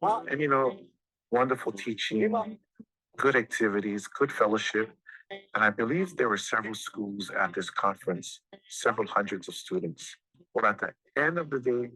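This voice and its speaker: An older man who co-founded the program tells its origin story in a documentary interview, speaking in a measured, storytelling way. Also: lowish voice, pushed and bright, low-quality recording.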